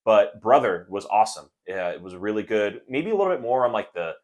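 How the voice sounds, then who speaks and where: A man's voice: on the low side, animated, a little fast. A man hosting a casual book chat for viewers, speaking in an enthusiastic, positive way. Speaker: book reviewer and video host.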